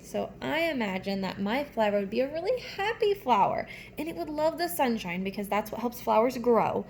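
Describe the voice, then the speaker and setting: High-voiced woman teaching young children. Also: big pitch swings, moderate pace. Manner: simple, explanatory, addressing young children. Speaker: elementary school teacher. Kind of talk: children's read-aloud and writing lesson. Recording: faint background noise.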